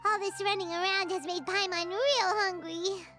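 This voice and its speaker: A woman's voice: extremely high pitch, steady tone, normal speed. A woman voicing a tiny, chatty, cheerful female companion in a game cutscene, who talks about herself in the third person. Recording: game audio with music behind.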